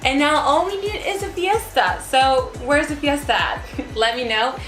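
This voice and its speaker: A woman's voice: sits high, moderate pace, a bit bright, roomy sound, faint music behind. A woman from Mexico presenting an upbeat, step-by-step craft tutorial.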